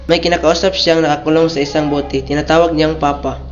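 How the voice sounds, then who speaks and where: A man's voice: a little high, flat delivery, brisk, close to an everyday voice. Radio drama part: earnest, anxious husband played by a man, courteous delivery, muffled lo-fi sound in an echoing room with faint music. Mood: worried, polite. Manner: respectful, earnest, confiding. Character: polite, worried young husband and father. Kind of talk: amateur radio drama acting. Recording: echoey room, dull lo-fi sound.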